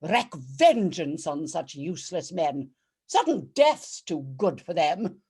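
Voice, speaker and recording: Woman playing a regal judge, slow and swooping. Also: low-pitched, sing-song, a little mellow, husky, heavily acted. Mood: commanding. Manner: declamatory, theatrical, savouring each rhyme. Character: regal, righteous female judge of a bawdy court. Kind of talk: dramatic verse reading, rhyming comic poem.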